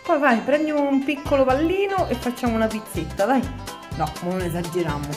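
A woman's voice: fairly high pitch, expressive, brisk, over music. A woman in her home kitchen, patiently guiding her young daughter through a recipe and praising each step. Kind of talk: casual home cooking video.

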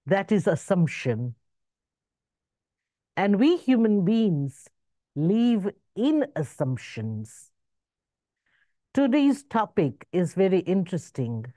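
A woman in her sixties, born in Zambia and raised in Zimbabwe, giving a slow reflective spiritual talk over a video call. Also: warm tone, dry recording, clean studio sound. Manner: slow, measured, rising and falling in pitch. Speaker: spiritual speaker. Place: indoor room with curtains. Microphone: video call webcam.

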